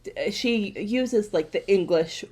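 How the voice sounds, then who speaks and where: A young woman co-hosting a podcast, chatting casually with quick asides and self-corrections. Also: mid-range voice, animated, a little mellow, slightly rough. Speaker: podcast co-host. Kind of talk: casual chat.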